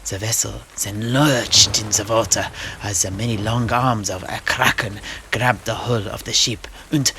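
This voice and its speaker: A man playing a genial old-world seafarer who recounts his grandfather's voyages in measured storyteller's phrasing. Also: lowish voice, fairly even intonation, pushed and bright, a little hiss or hum. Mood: dramatic. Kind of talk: in-character fantasy storytelling. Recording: music playing under the voice.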